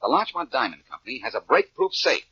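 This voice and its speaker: A cocky, breezy private sleuth from 1940s radio detective drama. His voice is husky and lively, swinging freely as he talks people round in an informal way. The old recording is dull. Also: medium pitch, fast, no room sound, exaggerated voice. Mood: eager.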